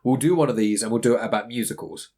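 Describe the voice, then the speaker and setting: A man's voice: medium pitch, fast talker, a little mellow. A British man hosting a podcast, chatting casually in a rambling, conversational way. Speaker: podcast host.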